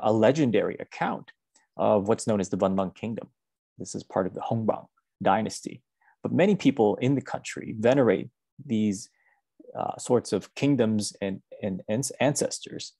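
Male, 40s, American. Measured academic presenter, rough husky tone, dry close sound. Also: lowish voice, darkish tone, no echo. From United States. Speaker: university professor giving a research seminar talk. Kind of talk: academic lecture. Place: indoor room, over a video call. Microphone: video call microphone, seated close to webcam.

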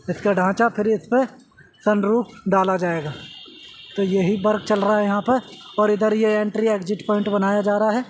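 High-voiced man narrating outdoors. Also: a little hiss or hum. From India. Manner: conversational, addressing viewers as friends. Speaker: vlogger. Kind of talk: casual walkthrough narration. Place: outdoors at a construction site.

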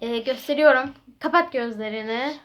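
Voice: sits high, normal speed, fairly bright tone, a little hiss or hum. A young-sounding host casually chatting to viewers, prompting a co-player through a homemade guessing game. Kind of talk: casual playful chat to viewers.

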